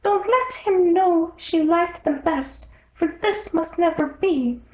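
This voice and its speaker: A storybook rabbit courtier played by a woman, pitched very high above the reader's own voice. He is nervous and deferential, speaking in a careful, fussy sing-song. The sound has a roomy echo. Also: takes it slowly. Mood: earnest recital.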